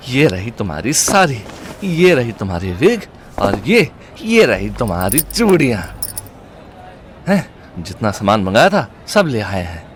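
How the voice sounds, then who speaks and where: A man's voice: medium pitch, very animated, a little slow, a little hiss or hum. An actor playing a crude, joking young male sidekick in an audio drama, teasing and exclamatory. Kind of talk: Hindi audio comedy-horror drama acting.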